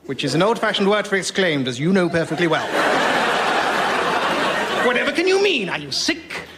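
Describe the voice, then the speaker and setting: Radio sketch role: self-satisfied upper-class English gentleman narrator, high voice, very animated intonation. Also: moderate pace, heavy background noise. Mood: pompous, droll. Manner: pompous, digressive, theatrical. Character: pompous upper-class old-fashioned gentleman storyteller. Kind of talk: radio sketch comedy storytelling.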